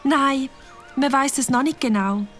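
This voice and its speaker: A woman voicing a caring, motherly fox lady in a cartoon, speaking gently. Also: high-pitched, unhurried, soft background music. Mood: calm.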